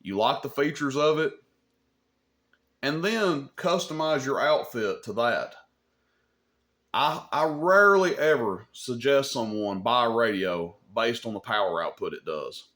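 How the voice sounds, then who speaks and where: Male tutorial host, medium pitch, informal and opinionated, explains gear in plain words. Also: lively intonation, measured pace, fairly bright tone. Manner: opinionated, plain-spoken explaining, often checking with "okay?". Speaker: host of a CB radio advice channel. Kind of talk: informal hobby tutorial talk.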